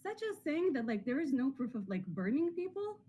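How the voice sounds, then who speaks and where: A woman's voice: high-pitched, sing-song, a little fast, mellow. A woman in her twenties from Israel arguing in an online video chat, speaking with many fillers like "like". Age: 20s. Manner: filler-heavy, lots of "like".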